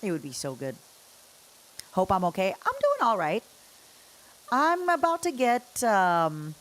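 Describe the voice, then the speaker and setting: A woman's voice: lowish voice, poor audio. A woman livestreamer chatting casually as she games, rambling and playful as she talks to her viewers. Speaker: livestreamer, host. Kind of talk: casual chat while gaming, answering chat.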